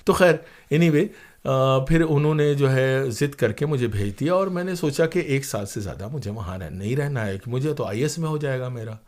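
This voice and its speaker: An older man speaks as a guest in a conversation. His pitch sits in the middle range. He tells anecdotes in a digressive, conversational way, slipping into reported dialogue. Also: moderate pace, a little mellow. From India. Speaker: interview guest, broadcaster and playwright.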